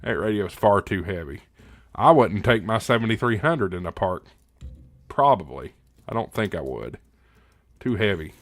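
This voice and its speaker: A man's voice: lowish voice, lively intonation, normal speed, long pauses, dry recording, clean studio sound. A man hosting a casual live Q&A, reading out viewer comments and answering in a folksy, plain-spoken way. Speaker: livestream host.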